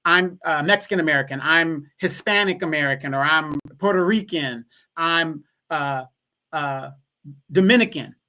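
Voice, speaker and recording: A man's voice: a little high, normal speed, pushed and bright. A man co-hosting a casual livestream, making emphatic points and repeating them to drive them home. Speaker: co-host.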